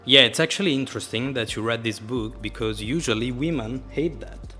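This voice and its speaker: A man's voice: mid-range voice, brisk, sharp tone, soft background music. A man speaking English as a second language as host, asking leading, coach-like questions in a casual interview. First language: Italian.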